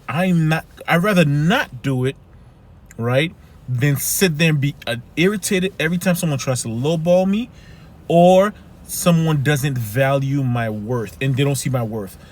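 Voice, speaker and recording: A man's voice: a little high, animated, normal speed, a little mellow. A man with a Haitian accent giving an earnest motivational talk as a life coach, often asking "right?". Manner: earnest, repeats "right?" and "keep it moving".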